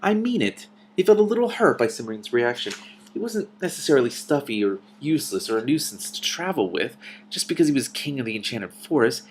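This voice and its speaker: A man reading a novel aloud and adding his own commentary, with an expressive, animated delivery. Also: mid-range voice, fairly quick, slight background noise. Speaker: reader and commentator.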